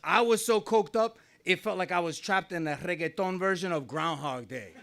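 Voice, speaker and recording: A man's voice: sits high, normal speed, a bit bright. Wry middle-aged man telling a story. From New Jersey, USA. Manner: wry, conversational, often laughing. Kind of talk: live personal storytelling. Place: small venue, live on stage.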